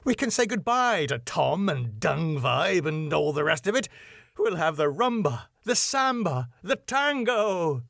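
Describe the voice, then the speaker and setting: A man playing an excitable, theatrical club owner. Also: a little high, a little slow, creaky, dry recording, studio quality, exaggerated voice. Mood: excited, exasperated. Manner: flamboyant, exclamatory. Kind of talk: sitcom script reading.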